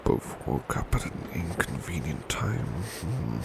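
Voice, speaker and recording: A man playing a devoted, tender servant in an intimate ASMR roleplay, murmuring sleepily and half whispering, with loud noise behind him. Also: low voice, sing-song, takes it slowly, vocal fry, exaggerated voice. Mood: sleepy, tender. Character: devoted, tender male servant cuddling his beloved. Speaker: ASMR voice actor.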